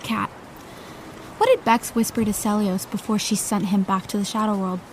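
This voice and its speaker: A woman's voice: mid-range voice, steady tone, moderate pace, slight background noise, natural voice, rough recording. A woman playing a pushy, playful young best friend in an audio drama, coaxing and insistent. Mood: coaxing, pointed. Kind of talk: naturalistic audio drama dialogue.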